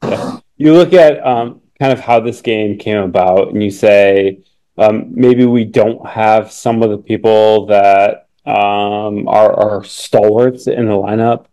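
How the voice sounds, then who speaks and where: A man's voice: lowish voice, slow pace, warm tone, poor audio. A male sports reporter asking a long, rambling question with many hesitations over a remote call. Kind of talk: press conference question.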